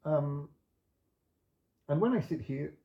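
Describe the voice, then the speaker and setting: A man hosting a relaxed podcast, talking in a gentle, unhurried and reflective way, with soft trailing endings and some Greek words read with a learner's accent. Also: a little high, dull audio. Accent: non-native Greek pronunciation when reading. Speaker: podcast host. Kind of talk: relaxed spoken monologue with poetry reading.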